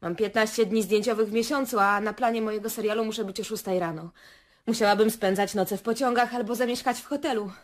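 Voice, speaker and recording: An actress playing a chatty, starstruck, envious actress in a radio play, gushing, girlish and excitable. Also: mid-range voice, fast, close to an everyday voice. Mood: wistful, chatty.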